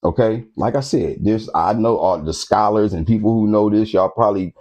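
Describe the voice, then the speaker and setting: Host type, male, low mellow voice, preachy street-talk lecturer who keeps checking the audience follows. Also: moderate pace. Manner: street-talking preacher, repeats phrases, keeps asking "you understand what I'm saying". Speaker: host of a history talk channel. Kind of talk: casual lecture to camera.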